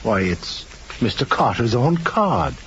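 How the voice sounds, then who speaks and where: Eager loyal radio sidekick, deferential, medium pitch, muffled and pretty noisy old recording. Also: male voice, big pitch swings, slow pace, fairly bright tone. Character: eager, loyal male detective's sidekick, deferential and plain-spoken. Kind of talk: old-time radio drama acting.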